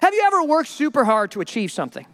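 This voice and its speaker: A male pastor preaching a sermon in an animated, joking way, acting out the people he quotes. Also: very high voice, very fast, big-room sound. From Minnesota.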